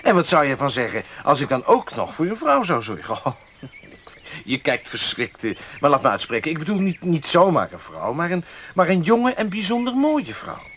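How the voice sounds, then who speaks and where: A scheming young gentleman in a radio drama. His fairly high voice swoops dramatically as he coaxes and condescends, pausing to pick his words. The recording has a faint noise behind it. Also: wildly swooping pitch. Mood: coaxing, eager. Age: young adult. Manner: coaxing, hesitant pauses, emphatic swoops. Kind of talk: radio play acting. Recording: faintly noisy old radio play recording.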